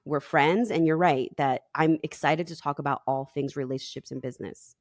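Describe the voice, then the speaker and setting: Woman from peru chatting on a podcast, low voice, laughs a lot, dry close mic. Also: normal speed, no room sound. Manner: warm storytelling, laughs often. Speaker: relationship coach, podcast guest. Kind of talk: casual podcast conversation.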